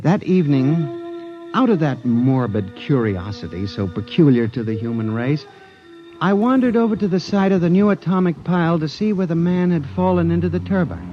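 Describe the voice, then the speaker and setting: Male actor as anxious statistician hero of 1950s radio sci-fi; highly expressive, earnest, dulled vintage recording. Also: normal speed, close to an everyday voice. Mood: grave, reflective. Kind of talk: 1950s radio drama acting and narration. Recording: muffled vintage radio recording with music behind.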